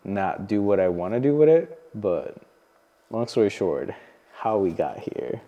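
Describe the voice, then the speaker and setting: A man's voice: lowish voice, big pitch swings, unhurried, long reverb tail, muffled recording. A young man hosting a podcast, speaking frankly and swearing freely in casual chat. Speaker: podcast host.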